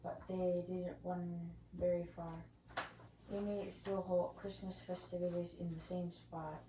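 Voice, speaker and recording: A woman's voice: fairly low pitch, level tone, unhurried. A woman reading an elder's life story aloud. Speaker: reader at a school story sharing. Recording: echoey room, faint noise.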